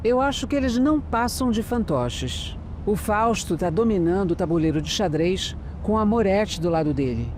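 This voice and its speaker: A woman's voice: mid-range voice, lively intonation, normal speed, hardly any pauses, a little mellow, close to an everyday voice. Woman acting as smart composed lady detective, audio drama, sure of herself, crickets and noise behind. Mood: wary, assured. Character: sharp, composed female amateur detective, deductive and wary.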